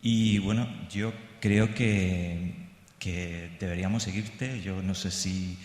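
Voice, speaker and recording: Low-voiced man in an echoey hall. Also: fairly even intonation, darkish tone. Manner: hesitant, halting, appreciative. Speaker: radio teacher, audience speaker. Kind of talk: informal remarks from the floor. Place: large indoor conference hall.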